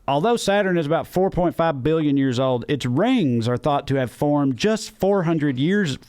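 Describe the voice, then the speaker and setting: A man's voice: a little high, expressive, moderate pace, darkish tone, smooth, no room sound. A man with a Southern US accent co-hosting a comedy podcast, chatting casually in a folksy, profane, musing way. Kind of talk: casual comic chat.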